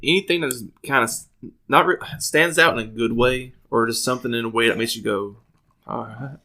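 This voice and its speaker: A man co-hosting a casual talk show, speaking in a halting way and often repeating his phrases. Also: mid-range voice, sharp tone. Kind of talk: casual chat.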